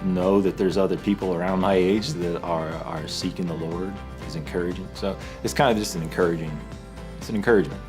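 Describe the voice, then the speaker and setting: A twenty-six-year-old man speaks with emphatic enthusiasm in a low voice. He talks with conviction, building his points up. Faint guitar music plays beneath. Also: fairly even intonation, fairly quick. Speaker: church young adults group member. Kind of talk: testimonial interview. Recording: soft music underneath.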